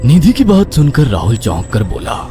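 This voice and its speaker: A man's voice: medium pitch, huge pitch swings, moderate pace, mellow. A man narrating a horror cartoon story in a dramatic, theatrical way. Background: background music.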